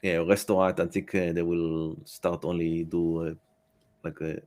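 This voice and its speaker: A man speaking as a podcast guest in casual conversation, with halting, choppy phrasing and slightly irregular English grammar. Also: flat delivery, a little mellow. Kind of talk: casual interview chat. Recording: noisy call with low hum.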